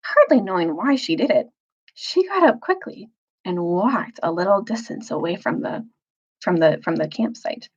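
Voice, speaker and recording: A woman reads a children's novel aloud with lively, swooping intonation. Her medium-pitched voice is a little husky, and she phrases carefully for emphasis. The recording is slightly dull. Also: moderate pace. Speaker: storyteller, teacher reading aloud.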